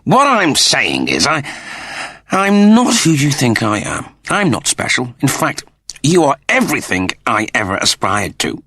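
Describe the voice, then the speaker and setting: A man voicing an awkward, self-doubting middle-aged father in an audiobook, halting and earnest. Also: mid-range voice, wildly swooping pitch, bright, forceful tone, a little hiss or hum, pitched above the performer's own voice, exaggerated voice. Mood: awkward, hesitant. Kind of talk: dramatised audiobook dialogue.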